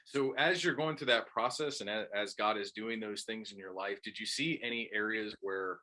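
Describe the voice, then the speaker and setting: A man's voice: on the low side, moderate pace, sharp tone. A man interviewing a chaplain on a webinar, asking long, searching questions.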